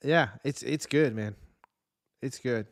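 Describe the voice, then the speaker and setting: Male podcast host, middle pitch, informal and sweary, dry close sound. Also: takes it slowly, dry recording. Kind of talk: casual chat about video game adaptations.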